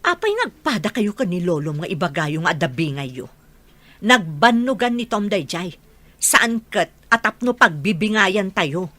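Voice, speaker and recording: A woman's voice: mid-range voice, very animated, moderate pace, pushed and bright, dry recording. A woman acting an earnest, admonishing mother in an Ilocano radio drama, full of questions, with an Ilocano accent. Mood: scolding. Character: earnest scolding mother, loyal to her husband's earnings.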